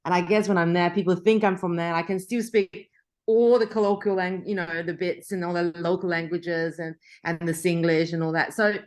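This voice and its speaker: Woman, middle-aged, low-pitched, conversational, frequent restarts. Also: steady tone, moderate pace, a little mellow. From Australia. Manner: chatty, frequent restarts and laughter. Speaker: journalist. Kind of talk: interview conversation.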